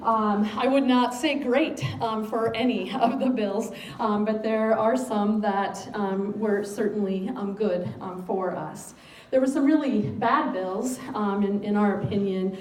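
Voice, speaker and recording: A woman's voice: medium pitch, steady tone, measured pace. Woman giving a talk in an echoey room. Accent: American. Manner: frequent ums, speaks of having a loud voice. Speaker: school superintendent. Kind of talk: public speech to a civic gathering. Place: meeting room. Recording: echoey room, distant mic, faint noise.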